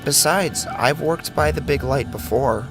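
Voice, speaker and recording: An actor playing a weary, humble handyman with a plain, halting, self-chiding manner in a dramatized horror audio story. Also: on the high side, close to an everyday voice. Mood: apologetic. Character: weary, humble handyman in a bleak post-apocalyptic world. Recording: echoing voice over clear music.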